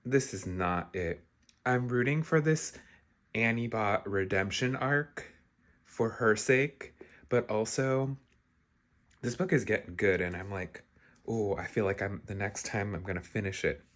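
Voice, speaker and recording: The man you'd hear on a reading-diary vlog, giving animated, slangy live reactions in a fairly low voice. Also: measured pace. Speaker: book vlogger.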